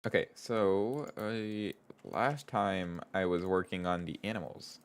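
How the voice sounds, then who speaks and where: A man with a stuffed-up nose and a lowish, smooth voice chats through a live coding stream. He reads viewers' messages aloud and talks himself through his code, trailing off and starting over as he goes. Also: fairly even intonation, slow. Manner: thinks aloud, reads chat aloud, trails off and restarts. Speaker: streamer and game developer. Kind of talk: casual live-stream chat while coding.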